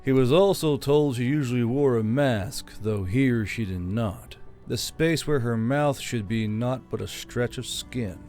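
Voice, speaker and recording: A man's voice: medium pitch, unhurried, hardly any pauses, a little mellow. A man reading a fantasy novel aloud as a scripted audiobook narrator. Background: background music.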